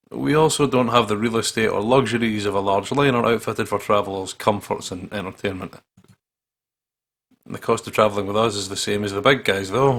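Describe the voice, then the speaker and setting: A man with a husky, gravelly voice playing a visual novel, reading the text aloud and joking in a playful way. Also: on the low side, a bit bright. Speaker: let's play host reading the game aloud. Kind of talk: dramatic read-aloud with joking commentary.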